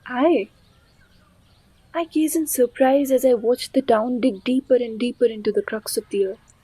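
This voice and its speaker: A compassionate young woman with a high voice played by an actress in an audio play. She is bold and idealistic, speaking in a heartfelt, expressive and dramatic way, recorded dry and close. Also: normal speed, slightly rough, no echo, poor audio. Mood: troubled wonder.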